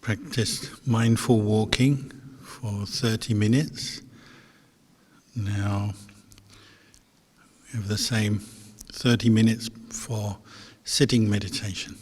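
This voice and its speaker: Slow, low-voiced man guiding meditation, long reverb. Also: steady tone, a little mellow, slightly rough. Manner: measured, with long pauses between short phrases. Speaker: meditation teacher, Buddhist monk. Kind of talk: guided meditation instruction.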